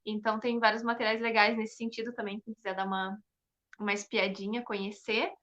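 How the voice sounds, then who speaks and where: A woman's voice: mid-range voice, level tone, a little fast, a bit bright, muffled recording. A young woman talking casually and enthusiastically as an interview guest, often adding "né" as a tag. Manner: enthusiastic, frequent "né" tags. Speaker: interview guest, performing artist and researcher. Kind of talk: casual explanatory interview talk.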